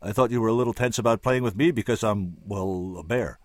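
A man's voice: on the low side, brisk, dry recording, studio quality, lightly acted. A man voicing a wry, streetwise male bear musician who talks bluntly in a laconic way.

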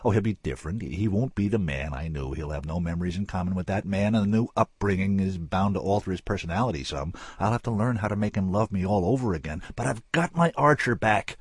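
A man's voice: sits low, breathy edge, no room sound. A man reading an audiobook, voicing a tough, stubborn woman of forty who chatters on insistently. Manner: rapid insistent chatter, rising to fury.

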